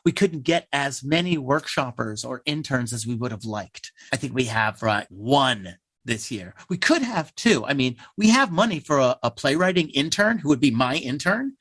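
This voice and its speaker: A man's voice: mid-range voice, fairly bright tone, no echo. A man who works as a playwright in a theater troupe, explaining things casually in an interview.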